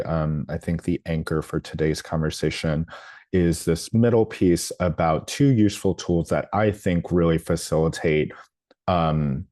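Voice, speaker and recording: Low, mellow man presenting with many ums. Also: no echo, studio quality. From New Orleans, United States. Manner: hesitant, lots of ums and restarts. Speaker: workshop presenter. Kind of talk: live training presentation.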